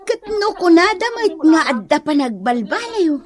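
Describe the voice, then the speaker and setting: A woman's voice: very high voice, sing-song, a little slow, crowd noise, exaggerated voice. A woman with an Ilocano accent playing a teasing, gossipy neighbour in a comedic radio drama.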